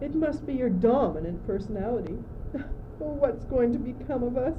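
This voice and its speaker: Teenage girl acting plaintive high-voiced courtier, noisy recording. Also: expressive, normal speed, lightly acted. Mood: anxious. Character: naive, anxious, dim male courtier who follows along. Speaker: student actor. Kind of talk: amateur radio play acting. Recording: dull, noisy old amateur recording.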